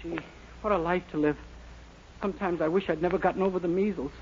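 A man's voice: high voice, fairly even intonation, fast talker, lightly acted. An actor playing a naive, eager young man with boyish gee-whiz earnestness in a 1940s radio sitcom. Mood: rattled, dejected. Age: young adult. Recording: scratchy, muffled 1940s radio recording.

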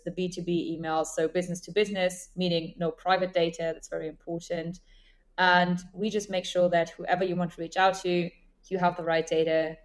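A young adult woman, a guest on a podcast interview, talking fluently and explaining terms as she goes. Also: fairly low pitch. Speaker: guest, senior account executive.

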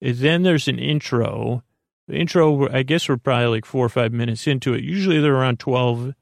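A man with a creaky voice giving a lulling, meandering, halting bedtime podcast monologue as host, in a self-deprecating way. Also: mid-range voice, dry recording, clean studio sound. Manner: lulling, soothing.

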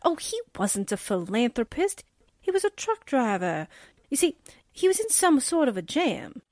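An actress playing a breezy, playful young gal with slangy talk in 1940s-style radio drama. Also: female voice, sits high, big pitch swings, moderate pace, darkish tone, dry recording, studio quality. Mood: proud, teasing. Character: breezy, man-hungry young gal, reporter's chummy sidekick.

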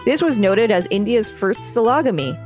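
A woman's voice: medium pitch, animated, moderate pace, a little mellow. A woman co-hosting a podcast, reading aloud and commenting casually. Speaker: podcast co-host. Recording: music playing behind the voice.

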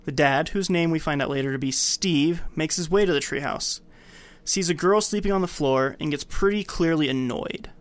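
Male podcast host, higher register, scripted film narration with casual asides. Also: moderate pace.

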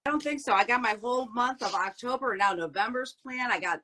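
A woman's voice: on the high side, fast, bright, forceful tone, a little hiss or hum. A woman co-hosting a casual chat, talkative and emphatic, often repeating her phrases. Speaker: podcast co-host.

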